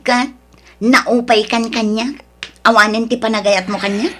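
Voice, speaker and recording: A woman acting as a headstrong, scornful young mother in an audio drama, demanding and quick to scold. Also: fairly high pitch, fairly even intonation, fairly bright tone, soft background music. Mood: guarded.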